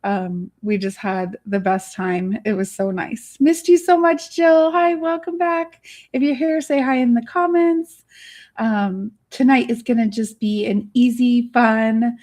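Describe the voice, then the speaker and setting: Raspy, hoarse chatty woman host. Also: on the high side, a little slow, darkish tone. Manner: chatty, bubbly, giggly. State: hoarse, worn voice. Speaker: livestream host. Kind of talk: casual live chat. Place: home studio. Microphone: studio microphone.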